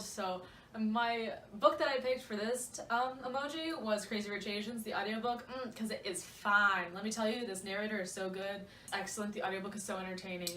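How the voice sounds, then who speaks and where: A woman's voice: medium pitch, fairly bright tone. A young woman chats about books as if with a close friend, gushing and slangy, leaning hard on her words. She sounds a little distant from the microphone in an echoey room, with faint noise underneath. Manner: gushing, slangy, emphatic, playful. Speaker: book vlogger, co-host. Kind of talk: casual chatty book discussion.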